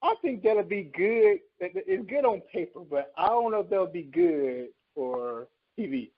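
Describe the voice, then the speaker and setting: A man's voice: sits high, sing-song, unhurried, no echo, narrow, tinny audio. A man co-hosting a podcast in a casual, conversational style. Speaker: podcast co-host. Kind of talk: casual chat.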